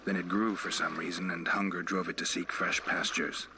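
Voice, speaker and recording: An actor playing a gruff, weary monster hunter in a video game, speaking in terse, deadpan phrases. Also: male voice, deep voice, moderate pace, natural voice. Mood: flat, businesslike. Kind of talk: video game cutscene acting. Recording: loud water noise behind game dialogue.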